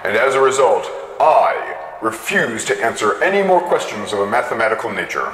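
A man's voice: on the low side, animated, a little fast, fairly bright tone, echoey room, long reverb tail, poor audio. A giant, stupid thinking machine from a storybook, voiced by a male reader. It is stubborn and touchy, speaking in blunt, formal pronouncements. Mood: offended.

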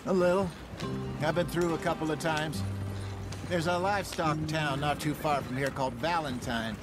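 A man's voice: fairly high pitch, fairly even intonation, normal speed, over music, close to an everyday voice. An actor playing a wry, eloquent older American outlaw con man in a western game. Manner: wordy, wry, theatrical turns of phrase. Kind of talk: cinematic western game dialogue.

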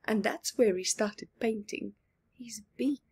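A woman in her forties reading a picture book aloud to children at home, expressive and deliberate, with dramatic pauses and asides. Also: a little high, husky. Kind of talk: expressive read-aloud with asides to young viewers. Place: small living room at home.

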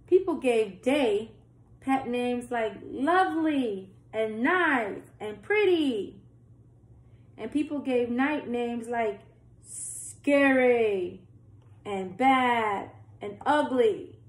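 Young female children's storytime reader. Very unhurried, explains as she goes, roomy home recording. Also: on the high side, animated. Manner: simple explanatory asides, questions to young listeners. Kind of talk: reading a picture book aloud, with asides to children. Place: small plain-walled room indoors.

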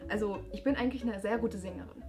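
A young adult woman making halting, improvised date conversation in a small studio. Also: medium pitch, music in the background. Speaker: performer in a comedy dating video. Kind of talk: improvised awkward date chat. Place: small indoor studio set.

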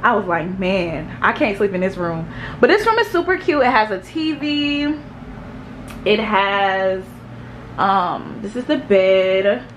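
A young woman giving a casual house tour vlog, chatting in an animated, slangy, gushing way. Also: medium pitch, slow. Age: young adult. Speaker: vlogger. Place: indoors, rooms of a house. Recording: echoey rooms with clear background noise.

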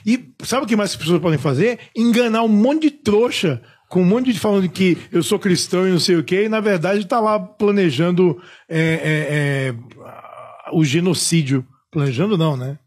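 A man from Salvador, chatting on a podcast about films and ranting in a lively, slangy, profane way. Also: high voice, moderate pace, husky. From Salvador, Bahia, Brazil. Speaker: film critic, podcast panelist. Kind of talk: casual podcast chat.

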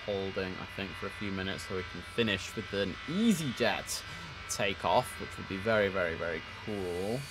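A young man with a headset, streaming an air traffic control game, chatting casually and reading out radio calls in a relaxed way that repeats words for emphasis. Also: low voice, normal speed, over music, poor audio.

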